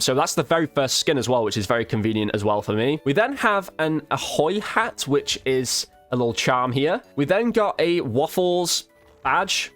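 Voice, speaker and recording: A man's voice: mid-range voice, a bit bright. A man giving casual, chatty commentary on a game update, informal and lightly sweary. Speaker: gaming video creator and commentator.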